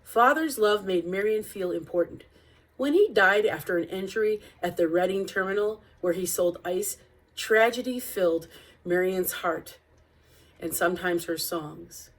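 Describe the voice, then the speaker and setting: A woman reading a picture book aloud as narrator, with deliberate, expressive phrasing. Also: medium pitch, low-quality recording. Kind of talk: read-aloud storybook narration.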